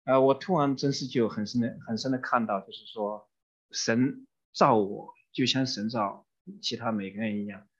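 Husky male sermon interpreter. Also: medium pitch, steady tone, moderate pace. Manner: renders each phrase promptly, with some fillers. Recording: dull muffled recording.

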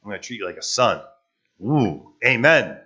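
A man preaching a sermon, playful and animated, his voice a little rough from allergies. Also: normal speed, dull audio. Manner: animated, playful, jumps to high excited pitch. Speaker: pastor. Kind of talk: sermon with live interpretation.

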